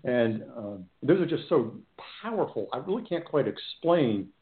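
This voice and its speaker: A man working as a hypnotherapist, recounting a client case in a halting, earnest way, often repeating words. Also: medium pitch, sing-song. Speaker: hypnotherapist guest. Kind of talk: conversational storytelling. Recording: echoey tinny phone line.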